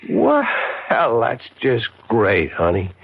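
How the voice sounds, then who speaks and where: A man's voice: mid-range voice, huge pitch swings, extremely slow, vocal fry, breathy edge, full character voice. An American man playing an anxious, caring husband in a 1970s radio drama. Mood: stunned, forced cheer. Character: anxious, caring husband under money strain. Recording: dull, hissy old radio recording.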